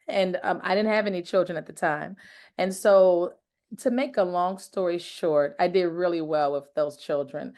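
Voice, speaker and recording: A woman from Michigan speaks as a podcast guest with a fairly low voice. She tells her story in a friendly, easygoing way. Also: unhurried, a little mellow. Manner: friendly, laughs lightly while telling her story. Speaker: interview guest, child care owner. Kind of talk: casual podcast interview.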